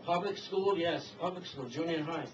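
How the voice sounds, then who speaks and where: A man telling a story and then putting a question to a speaker, halting with many fillers and restarts. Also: fairly high pitch. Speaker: interviewer. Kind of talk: hesitant spoken question to a speaker. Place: event hall. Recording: distant mic, dull, roomy hall with hiss.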